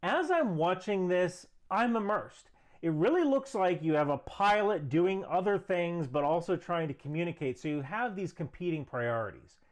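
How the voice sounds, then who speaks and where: Animated higher-voiced man presenting an explainer. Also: normal speed. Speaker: video presenter. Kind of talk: scripted explainer commentary.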